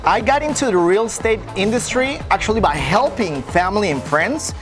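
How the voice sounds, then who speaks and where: A man's voice: high voice, expressive, moderate pace, over music. Mexican man with an American-sounding accent. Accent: American-sounding English. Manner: friendly, explanatory, with frequent "uh" fillers. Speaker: real estate broker guest. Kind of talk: interview answers.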